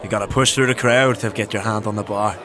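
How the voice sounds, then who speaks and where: An actor playing a brash, sardonic, hard-drinking warrior in an audio drama, blunt, boisterous and sweary. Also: male voice, lowish voice, steady tone, fast, over music, natural voice. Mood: teasing, brash.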